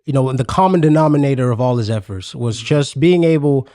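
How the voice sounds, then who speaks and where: A man's voice: mid-range voice, warm tone, no echo. Dry, close-miked man telling his story. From South Africa. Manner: reflective, unhurried storytelling with frequent hesitations. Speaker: podcast guest, musician. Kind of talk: casual interview.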